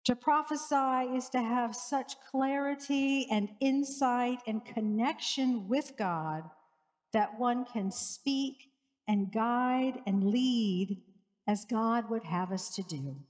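A woman in her forties, a pastor, preaching a sermon in a slow, measured way with rhythmic repetition, on a headset mic in a church sanctuary. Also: on the high side, darkish tone. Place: church sanctuary with large lake-view windows. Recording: reverberant church hall.

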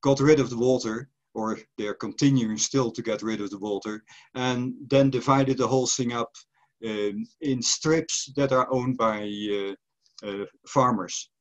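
A man's voice: medium pitch, a little slow. Older Dutch man lecturing on a call. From Netherlands. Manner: relaxed, explanatory, with frequent hesitations. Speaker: presenter. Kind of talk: online lecture. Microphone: video call. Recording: slightly dull online call audio.

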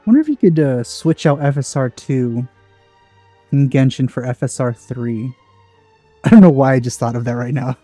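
A man's voice: mid-range voice, normal speed, mellow, dry recording. A man giving casual let's play commentary as a gaming host, musing and hesitant. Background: game music.

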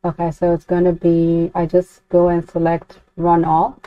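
A woman giving an unscripted software walkthrough as a tutorial presenter, informally thinking aloud with some hesitation. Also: on the low side, monotone, measured pace, no room sound. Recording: dull, lo-fi, dry close recording.

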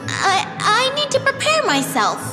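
Very high-pitched young fairy queen. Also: huge pitch swings, a little slow, music in the background, full character voice. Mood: flustered. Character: young fairy queen, girlish, earnest, easily flustered. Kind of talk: scripted video game voice acting.

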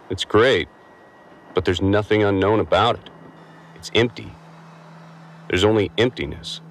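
An actor playing a disillusioned, haunted space-dock worker in a reflective, halting audio drama monologue. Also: male voice, deep voice, slight background noise. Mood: wistful, disillusioned. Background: music behind the voice.

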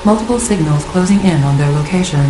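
A woman voicing a calm, impassive military computer assistant in a video game cutscene, measured and formal. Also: fairly low pitch, lightly acted. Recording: echoey processed sound over music.

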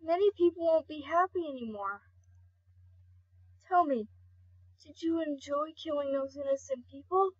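A woman voicing a gentle, tearful, kindhearted young male skeleton guardian in an earnest, pleading comic dub. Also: high voice, normal speed, pauses often, natural voice. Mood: hurt, reproachful. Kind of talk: amateur comic dub acting. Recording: dull lo-fi home recording.

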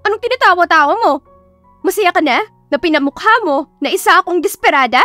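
A woman's voice: very high-pitched, lively intonation, quick pace, fairly bright tone, no room sound, faint music behind, pitched above the performer's own voice. A woman voicing a feisty, lovestruck young woman who is indignant and dramatic, in an audiobook love story. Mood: angry, hurt. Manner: indignant, dramatic, stammering when flustered.

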